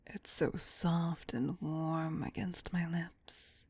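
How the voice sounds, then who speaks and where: A woman's voice: low voice, flat delivery, full character voice. Woman playing a whispering, doting vampire queen. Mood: tender, seductive. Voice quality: breathy, soft whisper. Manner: slow, intimate, doting. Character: tender, possessive vampire queen soothing her beloved pet. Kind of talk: whispered ASMR roleplay.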